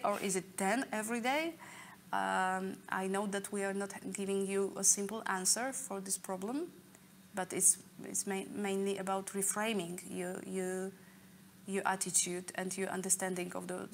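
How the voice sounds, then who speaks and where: Casting: middle-aged woman, earnest speaker explaining to an audience, hesitant phrasing, mid pitch, slight room noise. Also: steady tone. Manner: halting, searching for words, earnest. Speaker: speaker leading an online talk. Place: indoor room, office-like.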